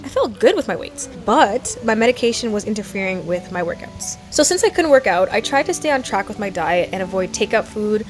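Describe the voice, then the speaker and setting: A young woman chatting through a fitness vlog voice-over, telling a story with quick self-deprecating laughs. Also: medium pitch, animated, faint music behind. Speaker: fitness vlogger. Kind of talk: voice-over narration.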